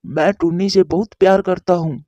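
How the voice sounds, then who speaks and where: A woman's voice: fairly low pitch, fairly even intonation, normal speed, mellow, dry recording, lo-fi sound, close to an everyday voice. A woman voicing a caring, devoted male pigeon husband in a cartoon, with a concerned, reassuring manner. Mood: tender, reassuring. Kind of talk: Hindi cartoon voice acting.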